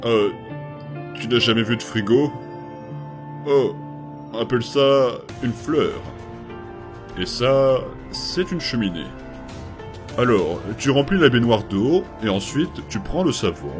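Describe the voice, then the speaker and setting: A kindly adult skeleton, played by a man, coaxes strange little ones with hesitant, halting patience. His middle-pitched voice swings up and down expressively. It is a fan comic dub with faint music underneath. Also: lively intonation. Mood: gentle. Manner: hesitant, soft-spoken, full of small pauses and fillers. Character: gentle patient adult male skeleton caring for strange children.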